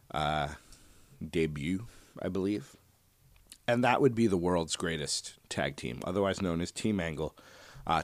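Male solo podcast host, low soothing voice, easygoing and chatty, dry booth sound. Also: dry recording. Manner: relaxed, digressive, frequent "uh" and "um". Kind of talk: casual solo podcast talk.

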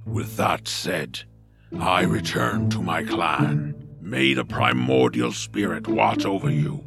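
Deep, gravelly, solemn orc warrior. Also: male voice, animated, heavily acted. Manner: slow, formal, grave. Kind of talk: family audio drama character acting. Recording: loud music under the voice. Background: loud background music.

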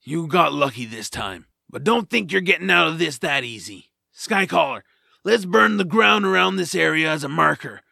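A man's voice: a little high, animated, normal speed, vocal fry, pushed and bright, no room sound, studio quality, full character voice. A man acting a grumpy, sarcastic swamp creature who likes his naps, in an audio drama. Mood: threatening. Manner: blunt, sarcastic, grumbling. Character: grumpy nap-loving male swamp creature, gruff reluctant rescuer.

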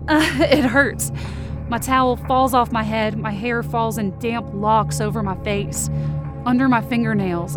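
A woman's voice: medium pitch, natural voice. A woman playing a wounded, angry, defiant young woman, narrating in the first person with intense feeling in an audio drama. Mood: pained, panicked, then tense, hurt. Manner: intense, emotive.

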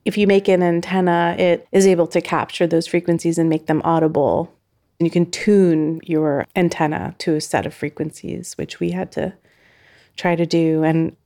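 A woman's voice: fairly low pitch, darkish tone. A woman sound artist talking reflectively in an interview, thoughtful and loosely phrased with frequent "like"s.

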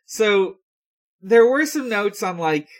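A podcast co-host casually relaying news in a hesitant, halting way. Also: medium pitch, lively intonation.

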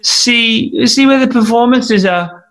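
A man's voice: very high-pitched, slow, exaggerated voice, rough recording. An actor playing a fawning, scatterbrained male theatre director who gushes over his cast. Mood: gushing. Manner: gushing, flattering, easily distracted.

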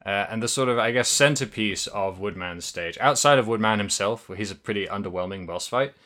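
A man's voice: on the low side, a little fast, pushed and bright. A man co-hosting a podcast, chatting casually about a video game, rambling with many fillers and restarts and laughing often. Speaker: podcast co-host.

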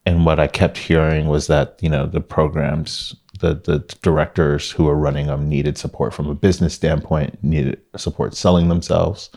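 A man with an extremely low, deep voice talks about his company as an interview guest. He is from Miami, Florida, and tells his story at an easy pace, often restarting his sentences and filling pauses. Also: gravelly. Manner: relaxed storytelling with many restarts and fillers. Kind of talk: casual interview talk.

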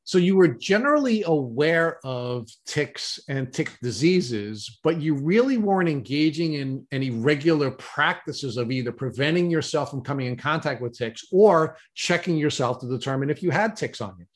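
A man from New York co-hosting a podcast, introducing the guest and asking measured, summarizing questions. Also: fairly high pitch, lively intonation. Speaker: podcast co-host. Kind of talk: interview hosting.

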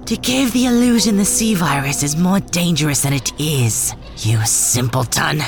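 A woman's voice: a bass voice, expressive, faint music behind, heavily acted. A woman voicing a cold, contemptuous male doctor villain in an audiobook who slips into whispers. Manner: contemptuous, slow, drops to a whisper. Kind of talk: audiobook voice acting.

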